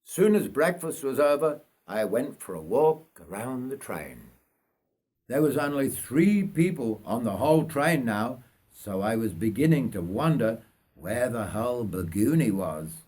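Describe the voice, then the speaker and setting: Older man from Yorkshire, measured storytelling. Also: mid-range voice, sing-song, a little mellow, husky. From North Yorkshire, England. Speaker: writer reading own life story. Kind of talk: narrated autobiographical story.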